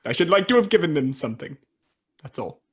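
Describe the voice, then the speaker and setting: A woman playing a regretful, easily moved old man in a radio play, with a husky, breathy voice and halting, dramatic delivery. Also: sits low, big pitch swings, a little hiss or hum. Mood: sad, subdued. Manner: halting, dramatic, swinging between exclamation and hush.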